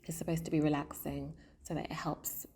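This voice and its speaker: A woman with a low, deep voice talks about her art in an earnest, reflective way. She pauses often and fills the gaps with soft hesitations as she gathers her thoughts. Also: level tone, vocal fry. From South London. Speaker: comics artist. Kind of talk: personal artist statement to camera.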